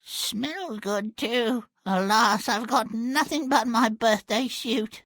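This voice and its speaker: A man's voice: extremely high pitch, slow, a bit bright, no room sound, exaggerated voice. A man playing a crusty, feisty old granny with a tart, blunt, theatrical delivery in a fairy-tale game. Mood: crusty, unbothered.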